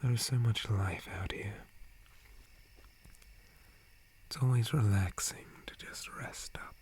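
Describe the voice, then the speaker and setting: A man playing a gentle, calm, friendly dryad forest guardian in a breathy ASMR whisper, with a soothing manner. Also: on the low side, natural voice. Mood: friendly, relaxed. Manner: slow, soothing, unhurried. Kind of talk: ASMR roleplay.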